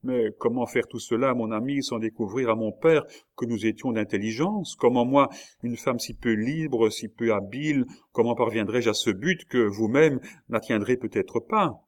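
A man's voice: mid-range voice, normal speed, natural voice. A man voicing a frightened, loving young woman in an audiobook, pleading and full of emotion. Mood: tearful pleading. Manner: pleading, sobbing, breathless with emotion.

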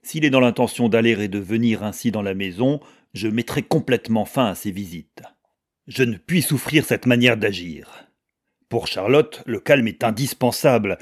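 A man's voice: fairly low pitch, a little mellow, close to an everyday voice. A man reading the part of a stern, domineering stepfather in a novel, hard, curt and commanding. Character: stern, domineering gentleman and stepfather, coldly angry.

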